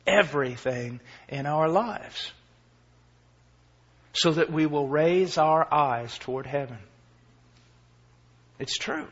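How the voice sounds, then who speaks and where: A man in his forties preaching a sermon with passion. He moves from quiet, low passages to shouted peaks and mixes in self-deprecating humor. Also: breathy edge. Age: 40s. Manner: impassioned, swinging from quiet to shouting. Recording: dull lo-fi recording.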